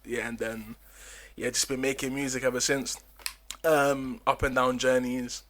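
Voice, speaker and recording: A young man from Ghana, a guest musician telling his story in a relaxed, slangy way with many fillers and restarts, at a desk mic in a small podcast studio. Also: medium pitch, unhurried. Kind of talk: casual podcast chat. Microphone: desk mic with headphones.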